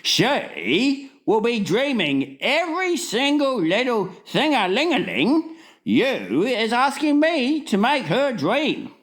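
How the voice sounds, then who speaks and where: A big friendly giant from a children's storybook, pitched high and swooping widely. He speaks slowly and earnestly in muddled, folksy grammar, savouring his whimsical nonsense words. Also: huge pitch swings, a bit bright, exaggerated voice. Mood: assured, eager. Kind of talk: dramatized storybook reading.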